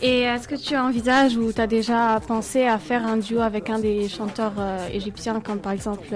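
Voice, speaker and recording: A woman presenting a radio show and interviewing a guest, speaking haltingly with many fillers. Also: on the high side, little pitch movement, soft background music.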